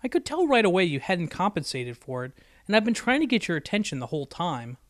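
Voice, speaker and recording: Guy doing a nerdy unicorn girl in a story reading, bit snippy, explains things precisely, highish voice. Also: big pitch swings, fast talker, dry recording, studio quality. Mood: annoyed, lecturing. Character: bookish, exasperated young female unicorn pony. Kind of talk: acted audiobook dialogue.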